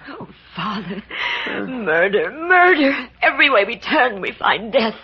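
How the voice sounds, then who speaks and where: A woman's voice: a little high, very animated, measured pace. An actress in old radio melodrama playing a frightened, overwrought young woman who speaks with pleading emotion. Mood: hysterical, despairing. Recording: noisy, muffled old radio recording.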